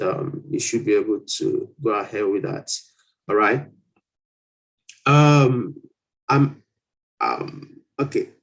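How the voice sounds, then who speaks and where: A man's voice: mid-range voice, poor audio. A man with a middle-range voice hosts an informal live Q&A. He speaks as an adviser, explaining step by step and restating his points in different words. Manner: explanatory, repeats and rephrases points. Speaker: host answering applicants' questions. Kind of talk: informal live Q&A, reading questions aloud and advising.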